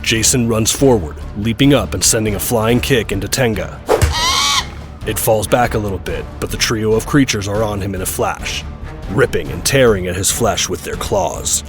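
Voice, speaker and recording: A man reads scripted action narration for an audio drama. His pitch sits on the low side, and music plays clearly behind him. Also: moderate pace.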